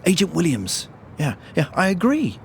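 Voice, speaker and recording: A man's voice: fairly high pitch, huge pitch swings, normal speed, gravelly, slight background noise, exaggerated voice. A man playing a blustery, scheming British intelligence agent who dithers and repeats himself in a comedic audio drama. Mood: falsely agreeable.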